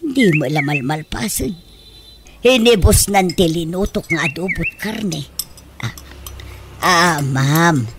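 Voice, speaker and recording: A woman's voice: lowish voice, lively intonation, slow pace, long pauses, noisy background. A woman playing a chatty, fussing mother in a comedic Ilocano radio drama.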